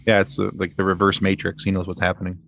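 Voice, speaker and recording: A man speaking as a podcast guest in a casual fan discussion, explaining things in a halting, rambling way. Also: sits low, monotone, gravelly, fairly bright tone.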